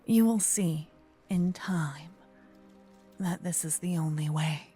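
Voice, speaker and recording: A woman voicing a gentle, possessive old female vampire in an ASMR roleplay, murmuring and whispering close to the microphone. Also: on the low side, faint music behind. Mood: teasing, intense. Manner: breathy, slow whispering and murmuring. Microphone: close binaural microphone.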